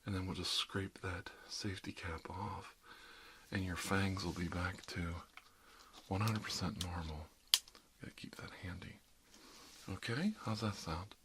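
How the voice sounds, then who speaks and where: A man's voice: sits low, fairly even intonation, measured pace, a bit bright, a little hiss or hum. A middle-aged man doing an ASMR roleplay as a dentist, chatting casually in a rambling, jokey, one-sided conversation peppered with "you know". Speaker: ASMR performer playing an emergency dentist.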